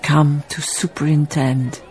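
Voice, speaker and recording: An actress in a radio drama playing a reflective, melancholy Danish baroness narrating her life in a slow, musing, literary manner, husky and breathy. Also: low-pitched, little pitch movement, darkish tone, a faint crowd.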